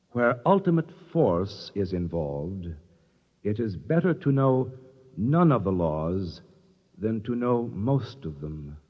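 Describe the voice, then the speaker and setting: An actor playing a flustered, stuffy company official in a 1950s radio drama, formal and officious, with a stammering manner. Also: male voice, lowish voice, heavily acted. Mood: solemn reading. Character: flustered, stuffy male corporate official defending himself. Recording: dull, reverberant 1950s radio recording.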